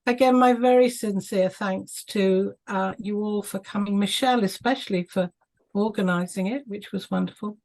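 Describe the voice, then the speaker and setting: A woman's voice: mid-range voice, normal speed, darkish tone, low-quality recording. A woman talking casually on a video call, chatty and full of ums and small laughs. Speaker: club member on a video call.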